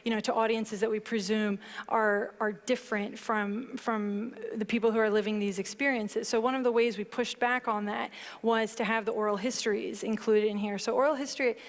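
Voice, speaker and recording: A woman in her thirties, a book author on a panel, explaining her work in a measured way and reading aloud from it on stage. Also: on the high side, fairly even intonation. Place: large hall stage before an audience. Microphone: headset mic. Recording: long hall reverb.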